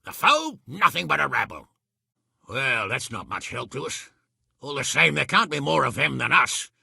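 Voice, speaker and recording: A hot-tempered medieval lord and commander from a video game. His voice is very forceful and hard-edged, gravelly and husky, with blunt, blustering delivery. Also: mid-range voice, very animated, moderate pace, no echo, studio quality, exaggerated voice. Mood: resolute. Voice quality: raspy, gravelly. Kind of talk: dramatic video game voice acting.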